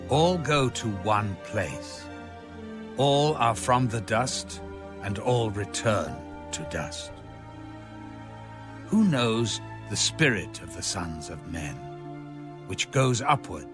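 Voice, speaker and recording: A man giving a solemn, measured and dramatic narration of scripture. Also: on the low side, animated. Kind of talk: solemn audio Bible narration. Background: background music.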